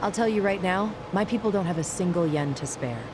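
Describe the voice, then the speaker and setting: A woman's voice: on the low side, brisk, background noise, close to an everyday voice. A woman voicing a cool, ruthless female crime boss, blunt and matter-of-fact, in a game dub. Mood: firm refusal. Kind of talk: video game English dub acting.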